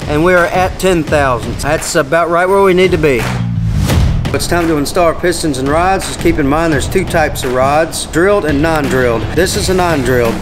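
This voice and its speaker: A middle-aged man with an American accent, a folksy, plain-spoken diesel mechanic explaining a job step by step. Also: on the high side. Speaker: diesel mechanic presenting a tutorial. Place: large indoor heavy equipment workshop.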